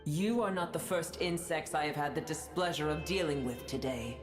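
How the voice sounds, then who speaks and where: A woman's voice: deep voice, moderate pace, natural voice. A woman playing a proud, disdainful ancient dragon god in a video game, formal and scornful, in a roomy echo. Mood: haughty, scornful. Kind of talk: scripted video game dialogue. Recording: roomy echo, music underneath. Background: music.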